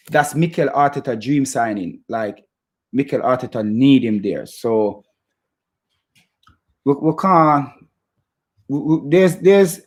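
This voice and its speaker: A man from Jamaica with a Jamaican accent, hosting a casual football fan chat in a halting, stop-start way and repeating his phrases. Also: fairly high pitch, darkish tone. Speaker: football fan channel host. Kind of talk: casual chat to live viewers about football.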